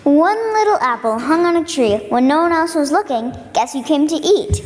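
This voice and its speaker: A woman's voice: high-pitched, expressive, moderate pace, a little mellow. A woman reciting a children's rhyme live as a poetry reader. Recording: hall reverb, faint noise.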